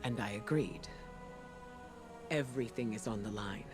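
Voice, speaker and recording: A man's voice: fairly low pitch, normal speed, natural voice. A man voicing a grave, steadfast ally who gives a solemn, earnest mission briefing in a video game. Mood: solemn urgency. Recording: studio voice under prominent music.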